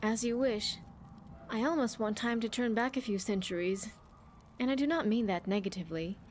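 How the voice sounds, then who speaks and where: High-voiced, earnest woman survivor acted by a woman. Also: moderate pace, a little mellow, soft background music, lightly acted. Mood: earnest, admiring. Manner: earnest, formal wording, reflective. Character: earnest young woman companion, wistful vault survivor. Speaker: game companion character. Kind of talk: scripted game dialogue, confiding monologue.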